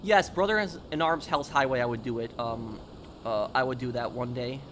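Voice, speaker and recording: A man with a mid-pitched voice talks casually on a gaming livestream over clear vehicle noise. He explains himself emphatically, often halting and circling back to repeat his point. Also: a bit bright. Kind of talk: casual gaming stream chat, answering viewers.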